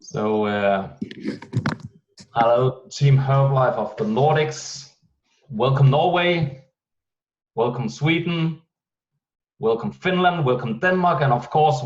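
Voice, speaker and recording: A man hosts a video-call meeting, welcoming everyone and running through event plans. His delivery is halting, with frequent pauses to search for words. The call audio is muffled and lo-fi. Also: mid-range voice. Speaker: host of an online team meeting. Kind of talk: welcoming, announcing event details.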